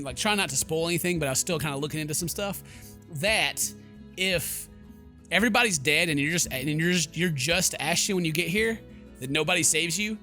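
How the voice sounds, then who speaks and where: A man doing excitable, jokey let's play game commentary, exclaiming and mimicking the characters. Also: on the high side. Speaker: gaming YouTuber, let's play host.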